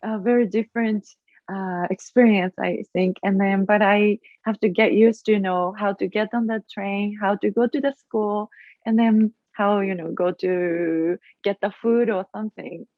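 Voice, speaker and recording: A woman with a Japanese accent, a guest in a casual interview, speaking haltingly and searching for her English words. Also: medium pitch, steady tone, no echo. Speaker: guest, acro yogi. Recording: tinny phone-like line.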